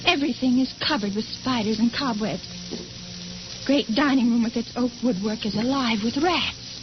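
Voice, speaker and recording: An actress playing a frightened, anxious wife in old-time radio suspense style, nervous, hesitant and pleading. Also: female voice, on the high side, normal speed, natural voice. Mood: troubled, despairing. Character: frightened, anxious wife trapped with sinister husband. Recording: noisy, hissy, muffled old radio recording.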